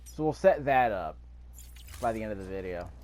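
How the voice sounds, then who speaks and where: A man with a fairly low voice gives casual commentary on a video game, rambling as he thinks out loud. There is clear background noise behind him. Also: lively intonation, moderate pace. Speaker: gameplay video host. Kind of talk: casual let's-play commentary.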